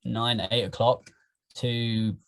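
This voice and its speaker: A young man with an English accent, chatting casually as a podcast guest, rambling and animated. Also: mid-range voice, slow pace, creaky, smooth. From England. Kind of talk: casual chat.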